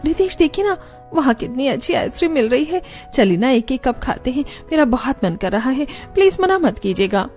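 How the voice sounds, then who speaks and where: A woman plays a young cartoon wife with a high, pleading voice. She sounds childlike and whiny as she delivers scripted story dialogue. Soft music runs underneath. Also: very animated, brisk. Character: plaintive young overweight wife, childish and food-loving.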